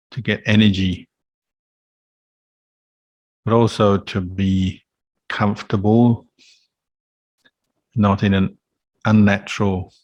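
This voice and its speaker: A man gives guided meditation instruction in a lowish, very slow voice. His delivery is calm and flat in melody, with gentle pauses between short phrases, as a monk teaching would speak. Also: dry recording.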